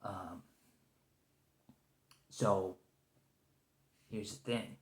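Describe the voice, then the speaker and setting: A man acting a stressed, weary captive special agent in an ASMR roleplay, rambling, halting and apologetic as he pleads, in a roomy recording. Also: low voice, steady tone, darkish tone. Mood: anxious, negotiating. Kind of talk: ASMR roleplay monologue, improvised conversational acting.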